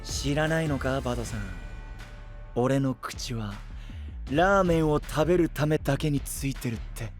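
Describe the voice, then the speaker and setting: A man playing an excitable, food-loving, boyish knight with a cheeky casual manner in an anime audio drama. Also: mid-range voice, moderate pace, soft background music, close to an everyday voice. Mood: excited, smug.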